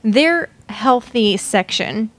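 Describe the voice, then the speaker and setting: A woman's voice: a little high, animated, very slow, fairly bright tone, no room sound. A woman in the audience asking the presenter questions, hesitant and correcting herself as she goes. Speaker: audience member.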